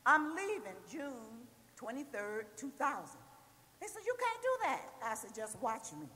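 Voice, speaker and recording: A woman's voice: sits high, big pitch swings, measured pace, sharp tone. A woman in her sixties speaking from the audience at a church panel, giving an emphatic testimony that swells with fervor. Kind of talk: impassioned testimony. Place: large church hall. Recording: roomy hall from far off.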